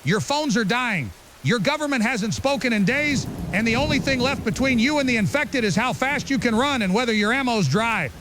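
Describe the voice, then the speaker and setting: A man's voice: extremely high pitch, normal speed. A man playing an angry, paranoid conspiracy broadcaster in a zombie apocalypse, delivering a defiant, accusing dramatic monologue. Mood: accusing, scornful. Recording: hissy recording with steady rain noise. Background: rain and hiss.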